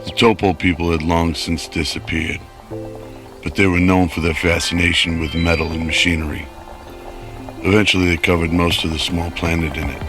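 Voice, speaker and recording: A man's voice: deep voice, flat delivery, moderate pace. Man, narrator, bottom-register voice, scripted audio drama storytelling, music bed behind.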